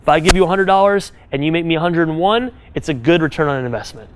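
Animated man giving business advice live. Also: fairly high pitch, fast talker, smooth. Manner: animated, direct, second-person advice with frequent "right?". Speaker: business speaker advising an audience. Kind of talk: live talk to a room audience.

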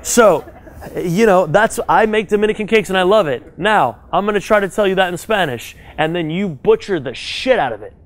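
Male speaker, live audience talk, energetic and direct, slightly higher register. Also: normal speed. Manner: animated, direct, second-person advice with frequent "right?". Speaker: business speaker advising an audience.

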